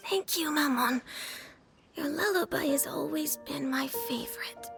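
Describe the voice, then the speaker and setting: A woman voicing a sleepy, tender, innocent little child in a video game, with a drowsy, breathy delivery. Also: high-pitched, measured pace, music in the background.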